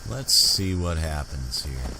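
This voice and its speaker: A man giving unhurried, understated roleplay commentary as he hosts a gaming video. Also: extremely low pitch, lively intonation. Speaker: gaming channel host. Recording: game ambience noise behind.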